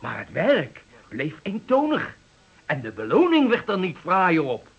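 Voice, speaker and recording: An actor playing an embittered, eloquent factory worker of sixty-five, giving a dramatic, deliberate farewell speech in a radio play. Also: male voice, sits high, huge pitch swings, slightly rough, full character voice. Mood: indignant, impassioned. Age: 60s. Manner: oratorical, dramatic, deliberate phrasing. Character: embittered eloquent 65-year-old male factory worker at his retirement.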